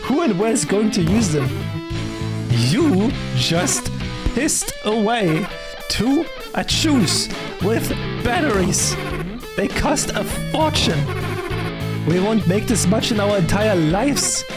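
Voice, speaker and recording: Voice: medium pitch, expressive, moderate pace, hardly any pauses, natural voice. A storyteller voicing a frazzled, stammering female work supervisor who scolds her crew. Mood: furious. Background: guitar music.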